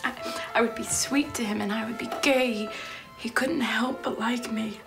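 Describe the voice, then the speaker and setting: An actress playing an anxious young woman waiting for a call, pleading and swinging between hushed whispers and outbursts, over background music. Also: on the high side, steady tone, moderate pace, creaky. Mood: anxious bargaining. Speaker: actress performing a monologue. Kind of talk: dramatic monologue.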